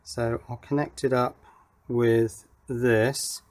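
A man's voice: mid-range voice, flat delivery, takes it slowly, darkish tone. A man giving a casual hands-on electronics demonstration, thinking aloud as he works. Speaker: electronics hobbyist presenter. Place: indoor workshop.

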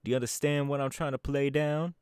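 A man's voice: medium pitch, fairly even intonation, brisk, darkish tone, clean tone, no room sound, studio quality, lightly acted. A man voice acting a laid-back young male DJ gang boss in an ASMR audio roleplay, talking in an easygoing, chatty drawl. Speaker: voice actor.

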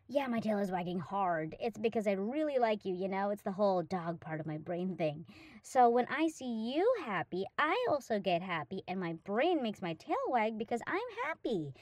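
A woman's voice: medium pitch, a little mellow, no echo, lightly acted. A woman playing a hyperactive, affectionate young dog-girl roommate in a roleplay audio drama, gushing and rambling eagerly to the listener. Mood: grateful, affectionate.

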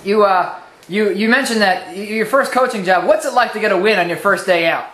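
A man's voice: sits high, fairly quick, fairly bright tone. A man playing a reporter who eagerly and pushily calls out for the coach's attention. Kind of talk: comic press conference skit. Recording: echoey room.

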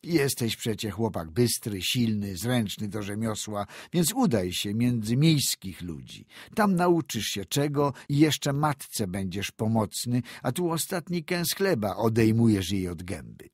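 A man's voice: lowish voice, sing-song, measured pace, few pauses, dry recording, studio quality. A man voicing a stern old village man who scolds a boy in a storybook reading, speaking raised and reproachful. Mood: urging. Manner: raised, forceful, reproachful delivery.

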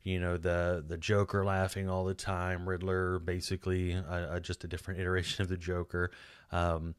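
A Texan man in his forties talks in a low, deep voice, rambling through a casual solo video with fillers and restarts. He speaks close into a studio mic in a small home room, and the sound is dry and close. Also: completely flat delivery, moderate pace, smooth, no echo. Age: 40s. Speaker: podcast host. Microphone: boom-mounted studio mic close up.

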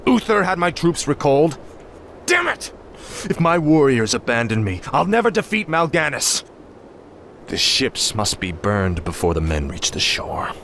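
An actor playing a proud, vengeful young warrior prince in a fantasy game, commanding and intense. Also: male voice, medium pitch, wildly swooping pitch, measured pace, a little hiss or hum, exaggerated voice. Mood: furious. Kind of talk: fantasy video game cutscene acting. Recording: loud game music behind the voice.

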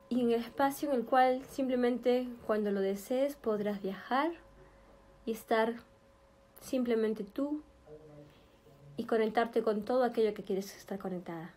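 A woman leading a guided meditation in a soothing, gentle manner, speaking in short, spaced phrases. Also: on the high side, a little hiss or hum. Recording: background music with flute. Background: meditation music with flute.

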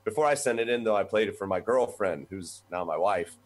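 Low-voiced man telling his story on a webcam. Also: a little fast. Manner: relaxed, rambling with fillers. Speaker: guest, voice professor. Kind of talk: conversational storytelling. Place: small office room. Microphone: webcam on video call.

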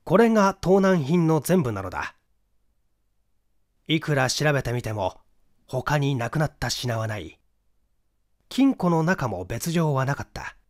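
A man narrating a mystery story from a script in an expressive storytelling manner. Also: on the high side, a little slow, long pauses, dry recording, clean studio sound. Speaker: audiobook narrator, stage actor.

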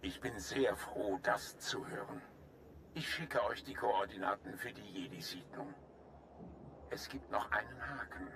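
Game role: grave alien general, bright commanding tone, formal and courteous, noisy ambience. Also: male voice, fairly low pitch, vocal fry, exaggerated voice. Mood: earnest. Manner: formal, measured, earnest. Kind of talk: German video game voice acting.

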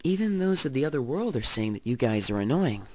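A woman playing a laid-back, quietly confident teenage schoolboy in an anime fan dub, nonchalant and unhurried. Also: very deep voice, sing-song, heavily acted. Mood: cool, unbothered.

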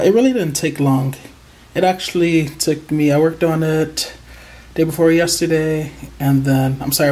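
A crochet channel host in a casual show-and-tell, sounding excited and proud and pausing often with hesitant ums. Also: medium pitch. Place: indoors at a table.

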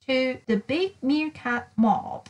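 A woman's voice: high-pitched, takes it slowly, darkish tone. A woman voicing an eager, cheerful young male meerkat reading his letters home in a children's picture book. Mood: cheerful, excited.

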